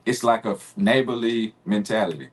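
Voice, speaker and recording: A man in his forties from Arkansas with a Southern accent, a guest telling stories in a relaxed, easygoing way that breaks into laughter. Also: on the low side, normal speed. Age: 40s. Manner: relaxed storytelling, laughs easily. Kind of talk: casual interview conversation.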